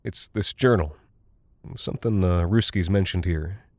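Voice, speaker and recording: An actor voicing a preoccupied American aircrew buddy in an audio drama, blunt and colloquial, with a hesitant, muttering delivery. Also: male voice, low voice, unhurried, darkish tone, no echo, studio quality. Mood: puzzled, absorbed. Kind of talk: quoted dialogue in audio drama.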